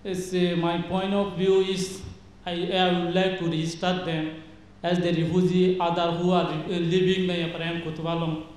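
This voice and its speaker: A man makes a formal, earnest request from an audience, haltingly. His voice is high with very little melody. The room echoes strongly, with faint noise behind. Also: level tone, a little mellow. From Bangladesh Rohingya refugee camp. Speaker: audience questioner. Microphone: handheld.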